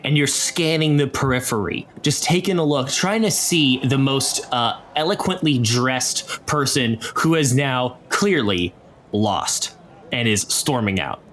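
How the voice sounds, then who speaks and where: A man narrating an improvised tabletop game as game master, describing scenes in a halting, cinematic way. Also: mid-range voice, expressive, fairly bright tone, a little hiss or hum. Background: music.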